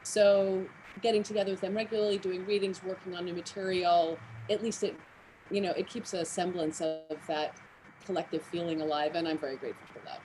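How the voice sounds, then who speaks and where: Canadian-raised woman, measured and articulate, faint background hiss. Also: fairly low pitch, little pitch movement, dark tone, poor audio. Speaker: theater artistic director. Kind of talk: video-call interview.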